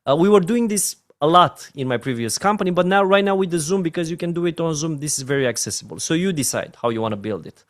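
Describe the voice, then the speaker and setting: A man with a Romanian accent, a network marketing trainer giving a training talk in an emphatic way, often checking in with his listeners. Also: fairly high pitch. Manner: emphatic, often asks "right?" and "my friend".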